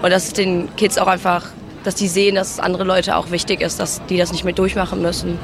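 A woman's voice: on the low side, flat delivery, a little fast. A woman of twenty-two, a student, in a casual street interview outdoors. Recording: clear outdoor street noise, traffic.

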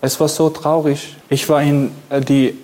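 Slow, halting Kurdish-accented man. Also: a little high, flat delivery, warm tone, low-quality recording. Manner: halting, searching for words, self-deprecating. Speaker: author and satirist. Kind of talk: reading event talk. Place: event venue with audience.

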